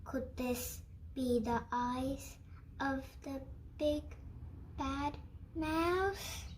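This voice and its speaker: A woman narrates a children's story in a high, animated voice. She takes it very slowly and expressively, with clear noise behind her.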